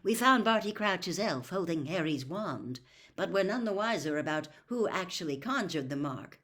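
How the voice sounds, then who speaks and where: A woman's voice: lowish voice, lively intonation, moderate pace, fairly bright tone. Grave middle-aged dad for a storybook, read by a woman, weary, explanatory, varied intonation. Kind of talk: acted storybook reading.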